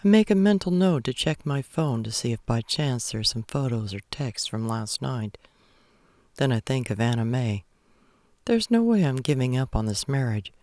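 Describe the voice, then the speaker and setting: A man's voice: medium pitch, expressive, moderate pace, darkish tone, dry recording. A man narrating a first-person romance story as a read-aloud. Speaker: audiobook-style narrator.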